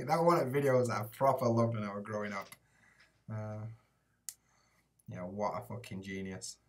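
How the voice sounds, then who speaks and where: A man's voice: fairly low pitch, steady tone, unhurried, pauses often, recorded from a distance. A young man with a Yorkshire accent answering viewer chat on a live stream, casual and sweary with lots of "like" and "mate". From England, Rotherham area. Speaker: YouTuber living in Japan. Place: small room indoors with shelves behind.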